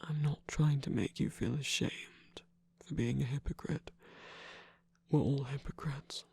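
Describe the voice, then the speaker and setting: Breathy, whispering villain lover voiced by an ASMR performer of unclear sex. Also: medium pitch, steady tone, measured pace, full character voice. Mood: earnest, coaxing. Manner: tender, soft-spoken, teasing. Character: affectionate villain lover, battle-scarred, gently scolding and reassuring. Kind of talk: intimate ASMR romantic roleplay.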